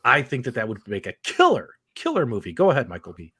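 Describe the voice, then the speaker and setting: A man's voice: medium pitch, wildly swooping pitch, fast talker, bright, forceful tone, breathy edge, no echo, clean studio sound. A man hosting a casual livestream talk show about games, speaking enthusiastically and repeating phrases for emphasis. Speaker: host of a video game talk show.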